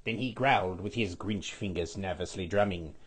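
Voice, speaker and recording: A man voicing a scheming skeletal villain lord who reads a storybook aloud with a raspy, sniffly voice, stretching out repeated words. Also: low-pitched. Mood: gloating. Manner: sing-song storybook reading, drawn-out repeated words.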